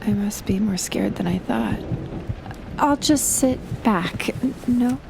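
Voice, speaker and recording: A woman's voice: on the high side, slow pace, creaky. A young woman playing a shy, playful best friend in an intimate audio roleplay, talking casually and hesitantly. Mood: flustered, nervous. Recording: rain and thunder noise behind voice. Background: rain and thunder.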